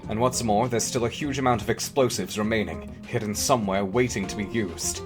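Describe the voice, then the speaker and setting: Man playing a grave, low-voiced gang leader. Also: brisk, over music, lightly acted. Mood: grave, composed. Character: composed, serious male gang leader. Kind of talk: audio drama acting.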